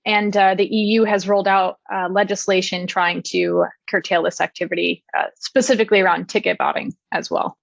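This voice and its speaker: A woman giving a technical conference presentation, explaining clearly with frequent "uh" and "um" fillers. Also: mid-range voice, little pitch movement, brisk, few pauses, no echo, dull audio. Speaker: conference speaker.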